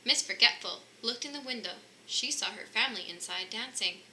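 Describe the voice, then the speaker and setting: A woman reading a children's storybook aloud in an animated narrating style. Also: a little high, fairly quick, poor audio. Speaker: storybook reader. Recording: faint background noise.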